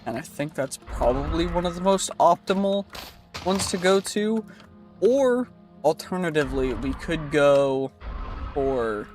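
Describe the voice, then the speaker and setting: A young man commentating his own gameplay while live streaming. He sounds congested from a cold and talks in an easygoing way, thinking aloud and reassuring himself. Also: fairly high pitch.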